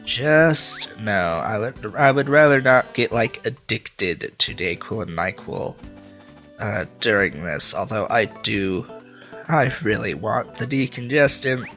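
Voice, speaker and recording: A man's voice: on the low side, expressive, slow pace, a bit bright, faint music behind. A man with a stuffy nose from a cold, rambling through casual gameplay commentary with many filler sounds. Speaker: let's play host.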